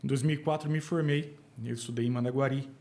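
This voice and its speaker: A Brazilian man in his forties, an accountant talking as a podcast guest, calm and plain, hesitating and restarting now and then. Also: medium pitch, fast talker. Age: 40s. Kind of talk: casual interview chat.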